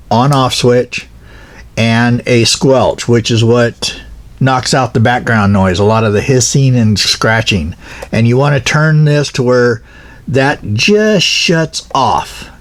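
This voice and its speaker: A plain-spoken man explaining equipment informally as an instructor, in a halting, practical way. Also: medium pitch. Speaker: instructor, pilot car operator.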